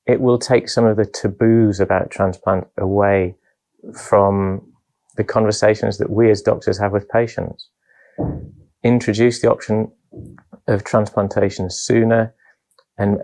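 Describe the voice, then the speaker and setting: A low-pitched male doctor with a gravelly voice speaks in an even, flat tone. His delivery is measured and earnest, like a conference talk.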